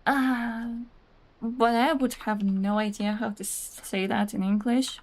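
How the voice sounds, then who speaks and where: A woman's voice: on the high side, steady tone, measured pace. A young woman whose first language is Russian, chatting casually while streaming a game, rambling with many "like"s, reading out chat messages and laughing often.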